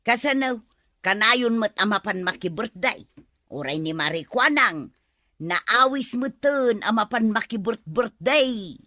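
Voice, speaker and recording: A woman's voice: on the high side, lively intonation, slow pace, pushed and bright, dry recording, clean studio sound, exaggerated voice. A woman playing a hardworking, complaining villager in an Ilocano comedy drama. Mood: exasperated.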